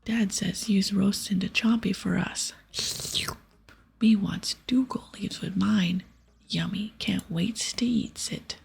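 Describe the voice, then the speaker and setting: A gruff, food-obsessed game ogre, performed by a woman, speaks in simple broken grammar. The voice is a breathy, gravelly whisper, demanding and childlike, with soft music underneath. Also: mid-range voice, steady tone, takes it slowly, heavily acted. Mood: eager.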